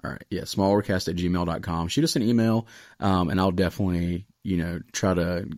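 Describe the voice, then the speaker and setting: Deep american dude late 20s, casual earnest podcast chat, dry sound no room. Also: male voice, fairly even intonation, fairly quick, darkish tone, dry recording. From Raleigh area, United States. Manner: informal, earnest, peppered with "like" and "you know". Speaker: podcast host, student pastor.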